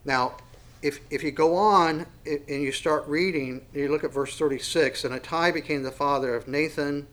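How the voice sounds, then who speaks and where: A man's voice: a little high, normal speed, a bit bright, low-quality recording. A man in his sixties teaching a Bible study in a folksy, self-deprecating way, with many fillers and restarts. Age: 60s. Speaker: pastor.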